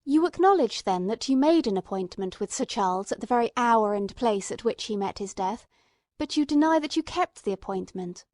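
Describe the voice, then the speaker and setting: Courteous but insistent gentleman investigator, read by a woman: highish voice, formal questioning, dry storybook sound. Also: expressive, normal speed, dry recording, natural voice. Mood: pressing. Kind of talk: dramatic audiobook character reading.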